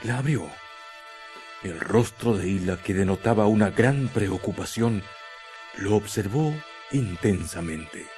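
Guy narrating a radio play, real slow, dramatic, music under it. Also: lowish voice, a little mellow.

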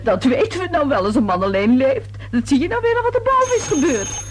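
An actress playing a nosy, self-important middle-aged neighbour in a Dutch radio play, chatty, confiding and full of insinuation. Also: female voice, high voice, big pitch swings, fairly quick, slight background noise. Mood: flustered, indignant. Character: gossipy, twice-married older neighbour woman, nosy and self-important.

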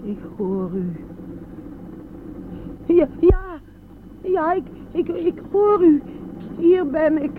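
A frail, anxious old lady in a radio play. Her voice is high and breathy, and she speaks very slowly, halting and pleading as she repeats her words. It comes from a muffled, narrow old recording. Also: very animated, noisy background, exaggerated voice. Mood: calling anxiously. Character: frail, timid, anxious elderly woman, pious mother-in-law.

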